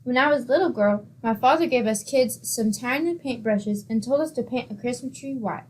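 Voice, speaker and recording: A woman's voice: fairly high pitch, moderate pace, slight background noise, close to an everyday voice, poor audio. An actress playing a scatterbrained, guileless young woman in a 1940s-style radio comedy. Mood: deadpan.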